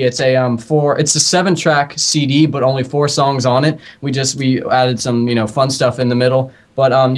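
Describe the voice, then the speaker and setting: A man in a band answering interview questions casually. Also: medium pitch. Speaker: band member being interviewed.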